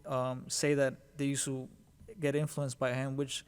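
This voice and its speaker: A man interviewing a guest, asking halting questions full of repeated words and slang like dope. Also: mid-range voice, monotone, smooth.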